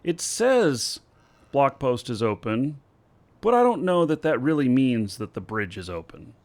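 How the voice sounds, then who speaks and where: Unhurried man musing through a game. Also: mid-range voice, sing-song. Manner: slow, thinking aloud with many fillers. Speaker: game streamer. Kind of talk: casual gameplay commentary.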